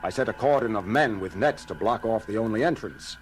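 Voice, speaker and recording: A man's voice: fairly low pitch, expressive, fairly quick, a little hiss or hum, natural voice. A man playing a logical alien starship science officer, speaking formally and precisely in a science fiction audio drama. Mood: measured. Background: loud dramatic music.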